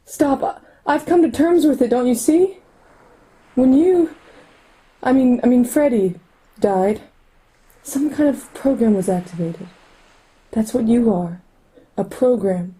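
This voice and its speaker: A husky, very mellow radio-drama heroine, played by a woman, grieving and anxious. Her voice sits fairly high and moves slowly, with hesitant, sorrowful tenderness toward her lover. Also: rough recording. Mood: sorrowful farewell. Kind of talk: radio play acting.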